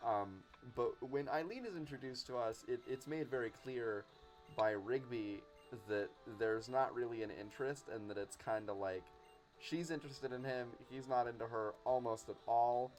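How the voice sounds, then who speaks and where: A man with a medium-pitched voice chats in an enthusiastic, rambling way. He sounds like a podcast guest in casual conversation, with game music playing faintly underneath. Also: moderate pace, a little mellow. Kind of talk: casual chat about cartoons.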